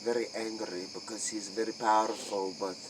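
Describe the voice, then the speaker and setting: A man playing a secretive vampire clan member in a comic interview, speaking halting, repetitive broken English. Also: fairly low pitch, level tone. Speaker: interview guest in character. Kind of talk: improvised comic role-play interview. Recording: noisy, crickets and insects behind.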